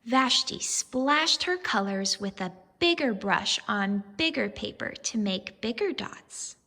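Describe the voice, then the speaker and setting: Slow, expressive woman reading to children. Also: medium pitch, in a large hall. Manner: expressive, deliberate, addressing young children. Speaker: teacher reading aloud. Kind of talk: children's storybook read-aloud with questions.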